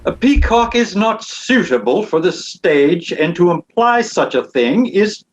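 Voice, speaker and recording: Brief: actor as fussy young gentleman, highish animated voice, incredulous, muffled lo-fi audio. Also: measured pace. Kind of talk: table read of a period comedy. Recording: dull, lo-fi microphone sound.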